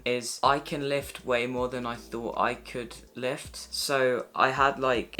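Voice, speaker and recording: A young man of nineteen talks casually and cheerfully to his viewers. His tone is bright and lively, and he keeps dropping "like" between his words as he chats. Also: medium pitch. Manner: chatty, upbeat, many "like" fillers. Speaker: vlogger. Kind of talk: casual talk to camera.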